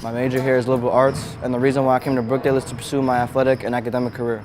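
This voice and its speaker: A man's voice: medium pitch, little pitch movement, racing. A young man from New York City, a college athlete, giving a short rehearsed self-introduction. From New York City, United States. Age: young adult. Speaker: college basketball player. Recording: crowd noise behind.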